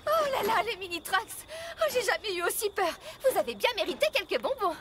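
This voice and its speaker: A woman's voice: very high voice, sing-song, a little fast, heavy background noise. A woman voicing a friendly, exclamatory coach truck in a French children's cartoon. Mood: startled, amused.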